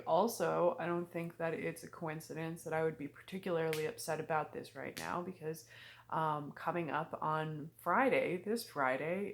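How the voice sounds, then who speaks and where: A woman in her early thirties talking casually and candidly in a confessional vlog, reflective and halting, with trailing pauses. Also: deep voice, fairly bright tone. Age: early 30s. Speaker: vlogger.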